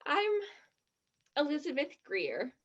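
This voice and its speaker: A woman acting a shy, fibbing teenage tourist girl in a stage play, defensive and dreamy, with a husky, breathy voice. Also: high voice, wildly swooping pitch, slow, highs cut off, heavily acted. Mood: playful.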